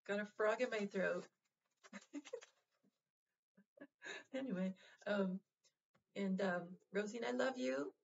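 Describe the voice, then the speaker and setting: Gentle, halting woman vlogger, lo-fi sound. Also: medium pitch. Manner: halting, full of fillers and restarts, gentle and reassuring. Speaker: vlog host. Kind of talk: casual heartfelt address to viewers.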